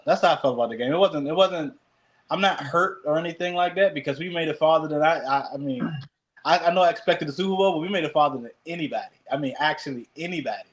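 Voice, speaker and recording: A man co-hosting a sports podcast, talking casually in a wry, self-mocking way with halting restarts. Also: a little high, low-quality recording.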